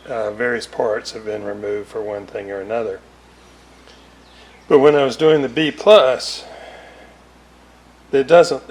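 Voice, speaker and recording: A man giving a casual, unscripted technical explanation as a hobbyist restorer, thinking aloud hesitantly in broken phrases. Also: mid-range voice. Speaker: hobbyist radio restorer presenting a repair series. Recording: roomy, distant mic, clear ambient noise.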